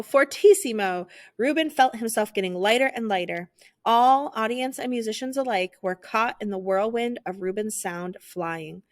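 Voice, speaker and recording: A woman narrating a children's picture book aloud in an expressive, theatrical storytelling style. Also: medium pitch, no room sound, clean studio sound.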